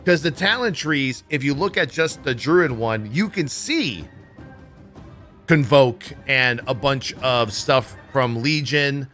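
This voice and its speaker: A man's voice: medium pitch, bright, forceful tone. A man giving casual, blunt, opinionated livestream commentary on gaming news, swearing freely as he goes. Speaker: livestream host.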